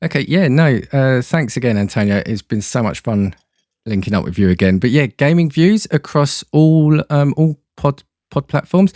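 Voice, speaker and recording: A man's voice: mid-range voice, expressive, normal speed, a little mellow, dry recording, studio quality. A man chatting casually as a podcast guest, hesitant and rambling, often repeating words as he goes. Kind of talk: casual chat about films and games.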